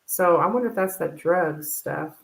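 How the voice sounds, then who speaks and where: The sound is a roomy, echoing live stream. A woman with a fairly low voice gives a casual tarot reading as host. She talks informally and haltingly, working out her thoughts aloud as she goes. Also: gravelly.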